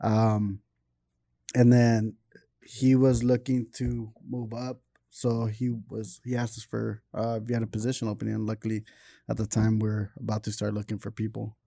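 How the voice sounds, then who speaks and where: A man's voice: lowish voice, completely flat delivery, moderate pace, creaky, dark tone, dry recording, clean studio sound. A man co-hosting a podcast, talking in an informal, joking, casual way. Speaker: podcast co-host.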